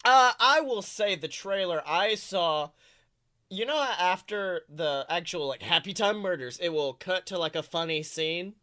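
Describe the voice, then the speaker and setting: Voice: medium pitch, expressive, unhurried, sharp tone. A podcast co-host chatting casually and comedically about movies, rambling, jokey and loose with swearing.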